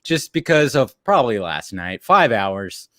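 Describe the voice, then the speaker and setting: A man co-hosting a stream, chatting casually and rambling, with a laugh, his voice a bit deeper than usual after a late night. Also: very animated, a little slow, pushed and bright, no echo, studio quality. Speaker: co-host of a watch-along stream. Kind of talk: casual commentary chat.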